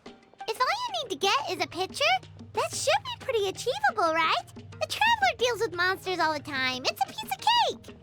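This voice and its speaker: A tiny, cheerful fairy sidekick chirps in a very high, childlike voice that swoops with expression. The talk is casual and confident, in video game style, with loud music playing behind it. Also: female voice, wildly swooping pitch, moderate pace, exaggerated voice. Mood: upbeat, confident.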